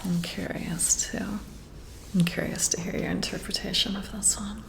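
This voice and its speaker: A woman whispering up close in an ASMR roleplay as a flirty, intrigued boss, breathy and intimate with drawn-out pauses. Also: mid-range voice, steady tone, poor audio. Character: flirty, intrigued female boss giving a job interview. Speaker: ASMR performer role-playing a flirty new boss. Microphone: close-up ASMR microphone.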